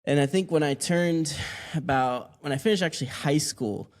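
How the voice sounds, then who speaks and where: A man in his twenties who grew up in Mexico, telling his story as a podcast guest in a relaxed, rambling way with many restarts. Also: fairly high pitch, normal speed. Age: 20s. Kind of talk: casual interview answers.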